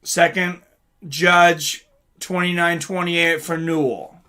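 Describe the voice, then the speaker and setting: Bright, higher-voiced man chatting on stream. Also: flat delivery, slow, clean tone. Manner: chatty, informal, greets viewers and reads chat aloud. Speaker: live stream host. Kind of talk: casual live reaction chat and fight commentary.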